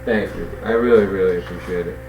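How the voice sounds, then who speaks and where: A man with a Boston accent hosts a call-in show in a halting, hesitant way, full of fillers and restarts. His voice sits a little low. A faint noise runs under the recording. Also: a little mellow, poor audio. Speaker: host. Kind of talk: casual call-in chat.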